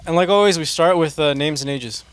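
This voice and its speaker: A man hosting a casual band interview, asking relaxed questions with plenty of fillers. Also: on the high side, a little fast, clear, resonant tone, dry recording. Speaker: podcast host.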